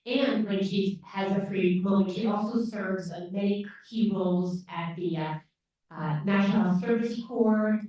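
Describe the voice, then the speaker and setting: A woman's voice: medium pitch, unhurried, mellow. A woman moderator introducing panelists at a live event, with frequent fillers and restarts. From grew up on a dairy farm. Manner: hesitant, many fillers. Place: large echoey lecture room. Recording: distant echoey hall audio, dull.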